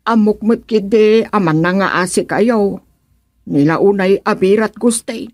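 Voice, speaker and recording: A woman's voice: medium pitch, unhurried, a little mellow, dry recording, clean studio sound, lightly acted. A woman acting in a radio drama comedy as a recently widowed village woman, exasperated and pleading, with an Ilocano accent.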